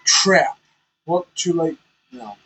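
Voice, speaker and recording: Trucker vlogger, male, rambling and candid, slightly high voice, talks slowly from his truck cab. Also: fairly bright tone, soft background music. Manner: rambling, candid, self-conscious. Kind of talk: casual chat while driving.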